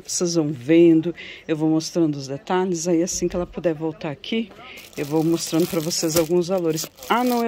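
A woman's voice: on the low side, darkish tone, a little hiss or hum. A woman with a Brazilian accent chatting casually and admiringly to viewers as she vlogs inside a shop, often ending on little tag questions. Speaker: vlogger showing shop goods to viewers. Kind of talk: casual shopping vlog chat. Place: inside a cluttered home textiles shop.

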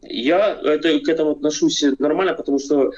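A man's voice: a little high, fairly even intonation, brisk, a little mellow. A man in his forties from Ukraine, talking from an armchair at home over a video call, hesitant and full of fillers. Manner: hesitant, many fillers, repeats himself. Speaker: video chat guest. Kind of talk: casual debate over video chat. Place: home room, seated in armchair. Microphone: webcam on video call. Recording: dull lo-fi video call audio.

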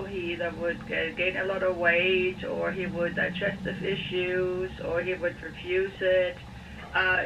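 Distant and noisy, like radio played in a room, a woman gives informal advice as a phone-in expert. She speaks evenly, in a measured and explanatory way. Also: fairly low pitch. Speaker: dog expert guest on a phone-in.

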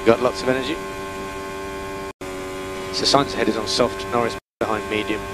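A man working as a race engineer gives calm, methodical, terse instructions over team radio, repeating key calls. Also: mid-range voice, normal speed. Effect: team radio transmission. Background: vehicle hum and noise.

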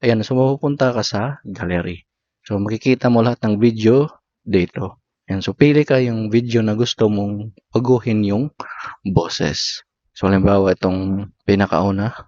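A man's voice: fairly low pitch, moderate pace, long pauses, a little mellow, dry recording. A man with a Filipino accent gives step-by-step app tutorial narration in short, instructional phrases. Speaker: tutorial host. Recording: slightly dull lo-fi voice recording.

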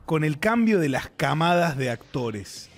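A man in his thirties with an Argentine accent co-hosts casual banter. His fairly high voice moves a lot in pitch. He talks in a slangy, laid-back way and likes to argue his point. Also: big pitch swings, measured pace. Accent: Argentine Spanish. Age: 30s. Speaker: streaming show co-host.

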